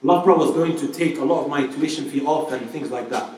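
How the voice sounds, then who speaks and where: A man's voice: a little high, fast, darkish tone, a little hiss or hum. A man preacher giving an informal Islamic talk to a live audience, chatty and full of rhetorical questions. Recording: very echoey hall, voice from far off.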